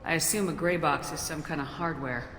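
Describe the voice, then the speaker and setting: A no-nonsense female space commander in a video game. Her voice is notably low and direct. The sound is reverberant, with some noise behind it. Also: brisk, fairly bright tone. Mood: curt, inquiring. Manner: direct, businesslike. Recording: echoey, reverberant, clear background noise.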